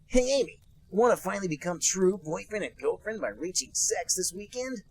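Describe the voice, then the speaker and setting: A man voicing an eager teenage hedgehog boy, loud and overeager, in a comedy podcast reading. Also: sits high, lively intonation, normal speed, slightly rough, voice pushed up, full character voice.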